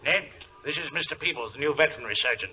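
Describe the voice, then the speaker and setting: An actor playing an agitated, emphatic British gentleman colonel and racehorse owner in a 1940s radio drama. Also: fairly high pitch, animated, racing, loud music behind the voice, lightly acted. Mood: grave, recounting. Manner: blustery, emphatic. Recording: dull, noisy vintage radio recording.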